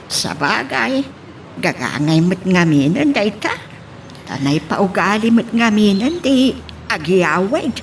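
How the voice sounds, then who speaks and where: A woman's voice: medium pitch, sing-song, takes it slowly. A female actor plays an anxious village woman in an audio drama. Her mid-range voice is fretful and questioning. There is slight noise in the background. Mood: concerned. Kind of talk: Ilocano audio drama acting.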